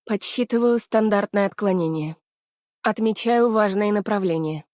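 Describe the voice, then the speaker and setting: An actress voicing a weary, anxious young woman scientist from the frozen north in a game dub, soft and hesitant. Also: medium pitch, moderate pace, dry recording, studio quality, lightly acted. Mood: focused. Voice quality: soft, husky, breathy. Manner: hesitant, subdued, apologetic. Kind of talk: Russian dub of game character voice lines.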